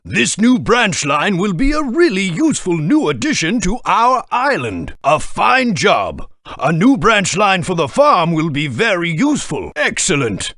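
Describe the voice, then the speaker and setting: A man voicing a portly, kindly older male railway boss who gives brisk, encouraging instructions in a game. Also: high-pitched, big pitch swings, sharp tone, no room sound, studio quality, heavily acted. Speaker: game guide giving instructions. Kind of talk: studio game voice-over. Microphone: studio microphone.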